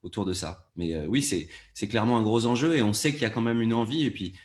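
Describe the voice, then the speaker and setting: A man with a slightly low voice talks as a guest on a panel. He explains things at length in a conversational register, moving from one point to the next. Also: fast talker, darkish tone. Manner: explanatory, builds long structured answers. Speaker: panelist, audio fiction producer.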